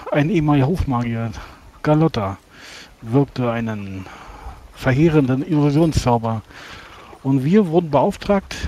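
A man playing a courteous, formal battle mage who speaks politely and haltingly. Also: a little high, dark tone.

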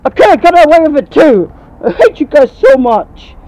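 A woman's voice: high-pitched, very animated, normal speed, warm tone, poor audio. A woman voicing a jealous, scheming unicorn superfan, melodramatic and muttering, in a homemade comedy skit. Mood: bitter.

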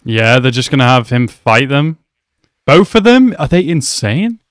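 A young man talks through an anime episode from a small room at home, wearing a headset. His voice sits at an ordinary pitch, and the sound is close and dry. He chats loosely, swears freely and keeps calling the characters bro. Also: very animated, measured pace, no room sound. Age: young adult. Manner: chatty, swears a lot, calls characters bro. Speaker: anime reaction host. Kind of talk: casual reaction commentary. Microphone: headset mic.

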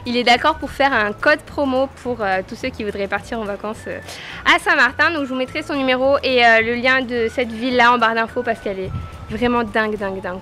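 A young woman with a high voice gives an excited, exclamatory tour for a holiday vlog. She records on a handheld camera in echoey villa rooms. Music plays along with her talk. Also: brisk. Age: 20s. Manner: enthusiastic, exclamatory. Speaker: vlogger. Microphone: handheld camera at arm's length.

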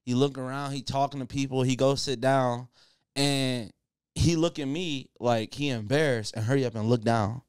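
A man's voice: mid-range voice, steady tone, moderate pace, clear, resonant tone, no echo. A man around his thirties telling comic stories in casual, slangy banter as a podcast co-host, often laughing through his words. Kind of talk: casual comedic storytelling and banter. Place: small indoor podcast room, seated. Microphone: boom-arm studio microphone.

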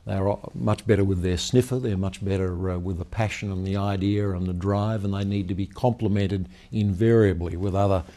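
A man in his sixties answers questions with a measured, storytelling manner, often starting with "well, look". His voice is low and mellow. He is recorded on a lapel mic in a high-rise office meeting room. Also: steady tone. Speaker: company founder and chief executive. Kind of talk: business interview.

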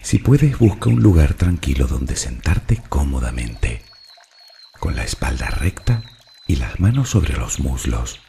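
A man from narrating a guided meditation in a slow, calm, soothing and deliberate way. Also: very deep voice, gravelly, slight background noise.

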